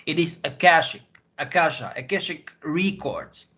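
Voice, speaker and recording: A man from Slovakia with a Slovak accent gives rambling interview answers, haltingly repeating and rephrasing as he searches for words. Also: a little high, lively intonation, pushed and bright. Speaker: interview guest.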